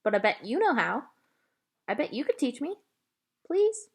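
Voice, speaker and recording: A woman voicing an earnest, wistful and eager young girl in a children's audiobook, expressive and pleading. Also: fairly high pitch, normal speed, slightly rough, pitched above the performer's own voice, full character voice.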